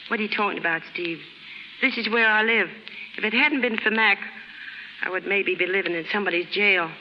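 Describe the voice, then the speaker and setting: An American actress playing a brash, warm-hearted young working-class woman in a 1930s radio drama, slangy, ungrammatical and cheeky. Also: mid-range voice, brisk, lightly acted. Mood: chatty. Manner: slangy, ungrammatical, cheeky, putting on airs at times. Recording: hissy 1930s radio recording, reverberant stage.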